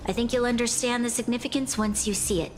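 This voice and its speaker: A woman's voice: on the high side, fairly even intonation, fast, close to an everyday voice. An actress playing a composed, earnest young female city leader in a video game, speaking formally and diplomatically. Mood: earnest, diplomatic. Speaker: game character, guardian leader. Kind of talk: scripted video game voice acting. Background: game music.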